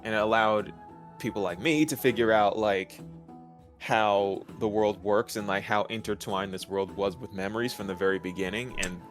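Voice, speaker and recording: A man in a casual chat about a game's story, speaking in a measured, slightly hesitant way as he thinks aloud. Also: fairly low pitch, faint music behind. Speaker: video co-host.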